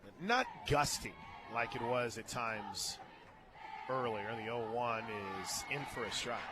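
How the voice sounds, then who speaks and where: An American male sports announcer calls a softball game live from a ballpark booth. His voice sits at a middle pitch, and he slips chatty, joking asides in between the pitch calls. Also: animated, measured pace, background noise. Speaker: radio play-by-play announcer. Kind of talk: live softball commentary with casual banter. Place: outdoor-facing radio booth at a ballpark.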